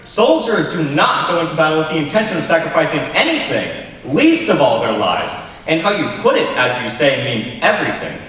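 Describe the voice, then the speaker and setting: A young man acting a contrary, cynical drunken poet in a high school stage play, speaking in a deliberate, articulate, argumentative way. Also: very animated, fairly bright tone. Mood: defiant, lecturing. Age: young adult. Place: large school auditorium stage. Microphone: far camera. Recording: distant, echoey auditorium with faint noise.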